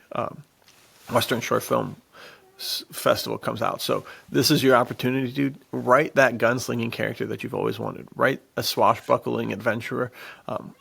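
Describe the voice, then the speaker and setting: A man co-hosting a podcast, chatting casually and pitching things in laid-back slang. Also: creaky, fairly bright tone, slightly rough. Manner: laid-back slangy, says "dude" often. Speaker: podcast co-host. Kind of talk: casual promotional podcast chat.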